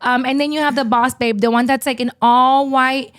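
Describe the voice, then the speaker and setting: A woman's voice: high-pitched, normal speed. A woman with a Cuban accent co-hosting a podcast, chatting animatedly and dropping Spanish words as she talks. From Cuban-American. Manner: animated, switches into Spanish words, laughs often. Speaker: podcast co-host. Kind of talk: casual chat.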